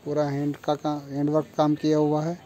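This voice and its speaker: Flat-voiced young man showing garments. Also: on the high side, normal speed, lo-fi sound, a little hiss or hum. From India. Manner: flat, matter-of-fact listing of features. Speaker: kurti factory seller. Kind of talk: product showcase walkthrough. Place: clothing store with fabric shelves.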